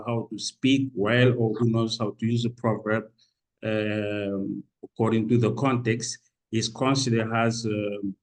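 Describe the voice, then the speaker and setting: A man from Senegal presents a conference paper at a slow, unhurried pace, full of pauses and restarts. His voice sits at an ordinary middle pitch. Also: a little mellow, rough recording. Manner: halting, with many fillers and restarts. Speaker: academic presenter. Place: conference room.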